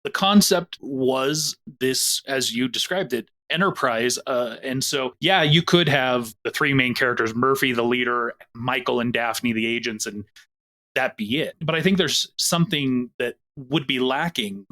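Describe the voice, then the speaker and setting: A man producing an audio drama, talking enthusiastically and comparing the show to other works. His voice sits at a middle pitch. He speaks in casual podcast chat. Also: measured pace, bright, forceful tone. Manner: enthusiastic, chatty, full of pop-culture comparisons. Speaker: producer and voice actor.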